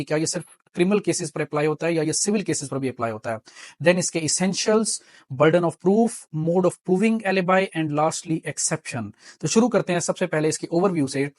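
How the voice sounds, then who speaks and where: A man's voice: a little high, no echo. A man with a Pakistani accent lecturing on law in a didactic way, explaining with examples, repeating key points and mixing in English terms.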